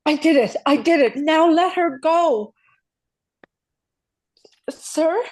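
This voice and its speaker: A woman's voice: high-pitched, slow pace, a little mellow. A woman playing a frightened, desperate mother in a script read, hesitant and stammering. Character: frightened, desperate mother under a kidnapper's orders. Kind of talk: dramatic script table read.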